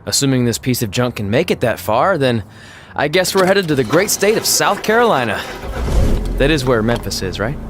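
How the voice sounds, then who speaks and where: Man acting a goofy, animated young male wrestler. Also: medium pitch, normal speed, noisy background, close to an everyday voice. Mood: flippant. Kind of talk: video game cutscene acting.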